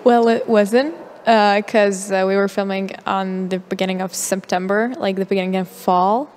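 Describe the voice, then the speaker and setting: A woman's voice: mid-range voice, little pitch movement, normal speed, darkish tone, a little hiss or hum. A teenage girl, a young actress, answering interview questions and interpreting for her director, hesitant with many fillers. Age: teens. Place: festival press area, large hall. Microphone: handheld mic. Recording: hall reverb.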